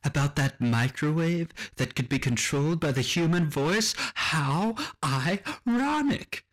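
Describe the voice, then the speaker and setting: Slow, superior machine acted by a man. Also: a little high, lively intonation. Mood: commanding, gloating. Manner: deliberate, condescending. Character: cold, superior music-making machine with ambitions of control. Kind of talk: radio drama acting.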